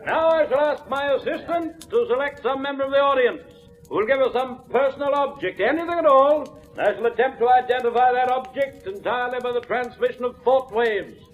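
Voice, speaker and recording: An actor playing a grand, commanding masked mind reader giving stage patter in a 1940s radio drama, on a muffled old recording. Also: male voice, very high-pitched, a little fast, soft background music.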